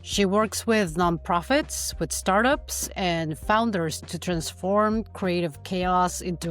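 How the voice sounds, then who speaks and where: A woman hosts an interview podcast, reading her introduction and asking questions in a friendly, measured way. Her voice sits a little low, and faint music plays softly under her. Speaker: podcast host.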